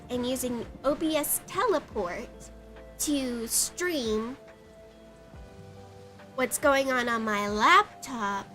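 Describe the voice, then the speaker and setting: Young gal w a stuffed-up nose, high bright voice, giggly chatter, faint music behind. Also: female voice. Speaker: art livestreamer. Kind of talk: casual live-stream chat. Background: faint background music.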